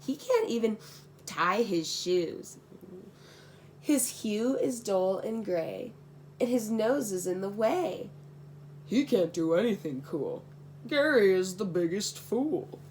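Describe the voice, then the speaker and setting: A woman's voice: mid-range voice, animated, slow, a little mellow, slight background noise. A young woman voicing boastful, mocking fish friends in a children's storybook, with a sneering, sing-song delivery. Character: boastful, mocking clique of sea creature friends.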